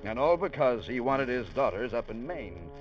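A man's voice: medium pitch, very animated, moderate pace, lightly acted. Male ship's officer , acted, conversational and plain-spoken, 1940s radio drama style, dull vintage broadcast with faint music. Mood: wry storytelling. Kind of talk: 1940s-50s radio drama narration and acting.

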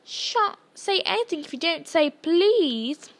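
A woman's voice: very high-pitched, animated, takes it slowly, voice pushed up, full character voice. A woman voicing a mischievous male poltergeist from a storybook, gleefully taunting in a sing-song manner. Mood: teasing triumph. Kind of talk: storybook character voice.

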